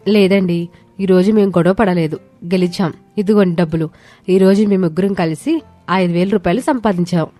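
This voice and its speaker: A woman's voice: lowish voice, moderate pace, warm tone, lightly acted. A woman voicing a spirited young village daughter-in-law in a moral story, earnest and emphatic. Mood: proud, happy. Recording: music behind the voice.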